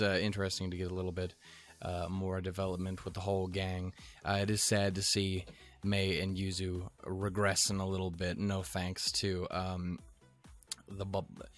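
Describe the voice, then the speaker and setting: A man from Montana giving rambling, profane, jokey reaction commentary on an anime episode, with sudden goofy pitch jumps.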